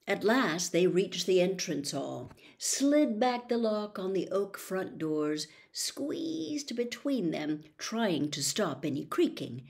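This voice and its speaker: A woman's voice: medium pitch, a little mellow. A woman reading a children's fantasy novel aloud as a storybook narrator. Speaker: audiobook reader.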